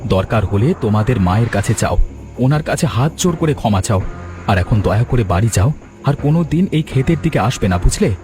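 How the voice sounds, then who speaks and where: Principled young family man for cartoon drama male actor, preachy, very animated pitch, prominent music behind. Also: brisk, natural voice. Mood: admonishing. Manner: emphatic, moralising, questioning. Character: righteous, caring son-in-law who scolds and moralises.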